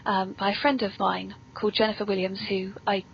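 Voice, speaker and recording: A woman's voice: medium pitch, flat delivery, brisk. A British woman hosting a podcast, chatting casually and emphatically as she interviews a guest about films. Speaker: podcast host. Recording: dull lo-fi audio with clear noise.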